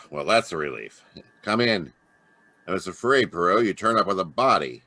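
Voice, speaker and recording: A man's voice: deep voice, expressive, moderate pace, pushed and bright, heavily acted. A man playing a blunt, exasperated police inspector in a live radio play over video call. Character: gruff puzzled male police inspector.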